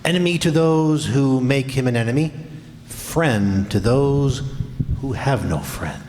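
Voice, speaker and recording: A man's voice: medium pitch, lively intonation, measured pace, a little mellow, a little hiss or hum. A man announcing a show in dramatic old-time radio style. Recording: reverberant hall stage performance.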